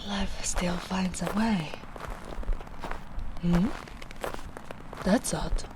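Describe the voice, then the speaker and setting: A big, gentle ogress, played by a young woman in a soft-spoken ASMR roleplay speaks in a low, slightly deep voice. She talks very slowly and soothingly, with a caring, protective warmth. Now and then she drops to breathy, near-whispered asides. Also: background noise. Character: gentle towering female ogre, caring and protective.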